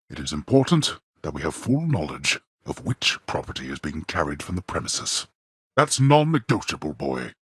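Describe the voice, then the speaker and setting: Imperious male underworld lord, game voice lines, very low and husky, dry studio sound. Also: no room sound, heavily acted. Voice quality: very husky, gravelly. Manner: cold, disdainful, formal. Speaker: voice actor.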